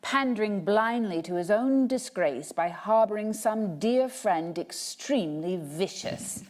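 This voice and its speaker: A woman reading poetry aloud as a dramatic recital, with measured, expressive and deliberate phrasing. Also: medium pitch.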